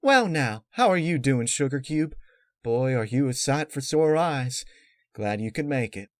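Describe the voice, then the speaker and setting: A man's voice: medium pitch, expressive, a little slow, dry recording, studio quality, pitched above the performer's own voice, natural voice. A man voicing an anxious pregnant farm mare with folksy country phrasing in a story reading. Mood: cheery.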